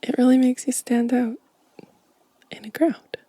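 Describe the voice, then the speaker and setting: A woman softly whispering as an ASMR host, gentle and unhurried, with a breathy voice close to the microphone. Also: sits high, little pitch movement, no echo. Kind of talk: soft-spoken whispered ASMR show and tell.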